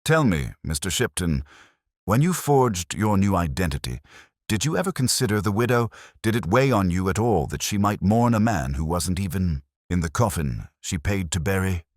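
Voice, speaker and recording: A man voicing a cool, relentless Victorian detective with formal, deliberate speech in an audiobook story. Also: deep voice, expressive, no room sound, studio quality, voice pushed up. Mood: pressing, incisive.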